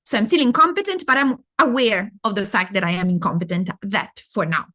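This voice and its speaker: A woman's voice: medium pitch, brisk, fairly bright tone. A middle-aged woman from Italy with an Italian accent, giving a live masterclass and explaining step by step, asking and answering her own questions. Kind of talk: interactive talk on learning.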